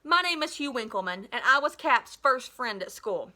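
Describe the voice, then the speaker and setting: A woman's voice: fairly high pitch, animated, normal speed, sharp tone. A woman voicing a scheming schoolboy in a storybook who proclaims theatrical, fake grief. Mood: earnest. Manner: melodramatic, proclaiming.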